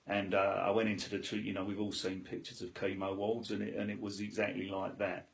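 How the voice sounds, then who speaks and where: Guy with a gravelly low voice, loud and jokey, telling stories. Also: completely flat delivery, brisk. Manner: jokey, loud, chirpy storyteller. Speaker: interviewee, cancer patient. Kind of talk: casual storytelling interview.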